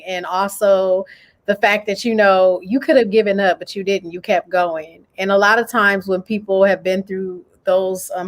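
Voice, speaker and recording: A young woman hosting an interview over a video call from home, friendly and encouraging. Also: mid-range voice. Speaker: podcast host. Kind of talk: casual interview. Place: home room.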